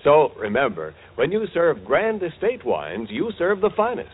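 A man announcing and selling as a 1940s radio sponsor pitchman, with polished, emphatic delivery. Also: wildly swooping pitch, normal speed, breathy edge. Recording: tinny, hissy vintage radio broadcast.

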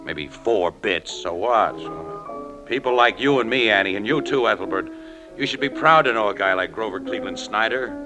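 An actor playing a wry, slangy, soft-hearted tough newspaper photographer in 1940s radio drama style. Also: male voice, on the low side, normal speed, lightly acted, rough recording. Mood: defensive. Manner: slangy, clipped, hesitant. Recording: old radio drama with music.

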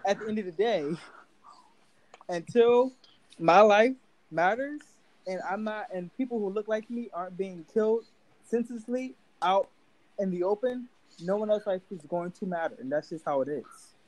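Young man, high voice, earnest and emphatic. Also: normal speed, a little mellow, low-quality recording. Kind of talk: casual debate.

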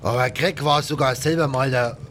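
A man voicing a rough, chatty pirate in a game audio drama, rambling in coarse colloquial speech with grudges. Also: moderate pace, fairly bright tone, lightly acted. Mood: gruff, aggrieved. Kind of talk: acted game dialogue, colloquial storytelling. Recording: faint rumbling background noise.

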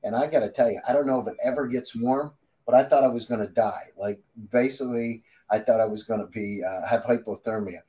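A man's voice: mid-range voice, fairly quick, hardly any pauses, dark tone, poor audio. A man hosting an interview, chatty and fond of telling personal stories and asking friendly questions. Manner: chatty, storytelling, friendly asides. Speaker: interview host. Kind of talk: casual interview conversation.

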